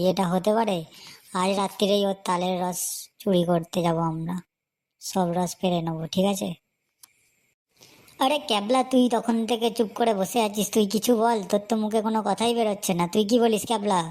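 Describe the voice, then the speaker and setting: A woman's voice: mid-range voice, darkish tone, natural voice. A woman voicing a bossy, scheming young boy in a comedy cartoon, with a pushy, chatty, cajoling way of talking. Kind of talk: Bengali comedy cartoon voice acting.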